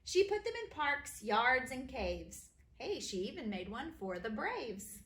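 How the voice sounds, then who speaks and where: Bright, animated woman reading storybooks, roomy echo. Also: on the high side, measured pace. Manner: expressive, sing-song rhyming delivery for young listeners. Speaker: kindergarten teacher reading her own stories. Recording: roomy echo, faint background noise.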